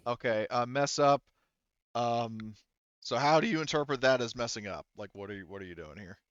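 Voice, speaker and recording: A man's voice: mid-range voice, normal speed, clean tone, no echo, lo-fi sound. A man acting as game master in a tabletop game, casually narrating and refereeing as he thinks aloud in a hesitant way.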